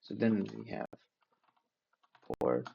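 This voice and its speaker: A man's voice: lowish voice, animated, slow pace, gravelly, warm tone, highs cut off, a little hiss or hum. A man for whom English is not a first language, taking notes aloud in a casual study vlog, hesitant and restarting as he searches for words. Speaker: learner sharing notes.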